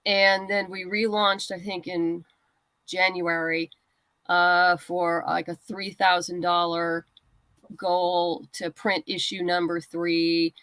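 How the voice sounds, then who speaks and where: A woman's voice: lowish voice, level tone, takes it slowly, fairly bright tone, no echo. A woman speaking as a guest creator in a casual interview, giving practical advice in a conversational way. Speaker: guest writer and comic creator. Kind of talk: casual interview chat about crowdfunding.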